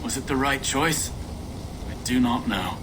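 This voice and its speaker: An actor playing a doubtful Saxon ally in a game, hesitant and regretful. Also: male voice, medium pitch, animated, a little slow, noisy background, lightly acted. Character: doubtful Saxon ally torn over the battle.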